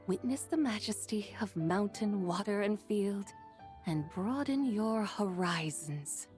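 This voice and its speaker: Lofty, pompous immortal, mid-pitched. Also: female voice, expressive, takes it slowly, darkish tone. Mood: haughty. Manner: formal, archaic, pompous declarations. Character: proud, lofty ancient female immortal, stern and grandiose. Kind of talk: video game voice acting. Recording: game dialogue over background music.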